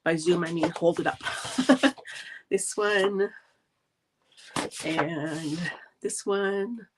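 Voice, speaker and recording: A woman's voice: lowish voice, drawn-out words. A middle-aged woman talks her way through a live card-making session at her craft table, nasal and congested from a cold. She rambles in a friendly way and gushes over the paper. A faint hiss sits under the voice. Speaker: stamping demonstrator hosting a live craft video. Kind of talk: chatty live craft demo.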